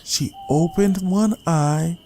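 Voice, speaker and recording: A man's voice: a little high, slow pace, darkish tone, slight background noise. A man reading a scripted short story as a dramatic audiobook narrator. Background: music.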